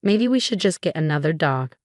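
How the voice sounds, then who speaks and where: Woman as troubled husband, subdued, steady, dramatic audio story, dry recording. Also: low-pitched, fairly quick, dry recording. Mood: resigned. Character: troubled husband, torn son of a scheming mother.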